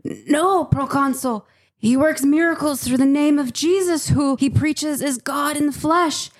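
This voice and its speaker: A woman's voice: sits high, unhurried. A woman playing an earnest, deferential servant to a Roman governor in an audio drama, urgent and hesitant. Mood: urgent, respectful.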